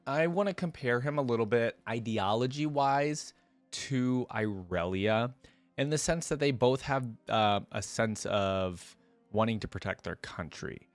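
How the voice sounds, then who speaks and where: A man's voice: on the low side, dry recording. A man hosting a reaction video, reading aloud and adding casual, informal asides to viewers.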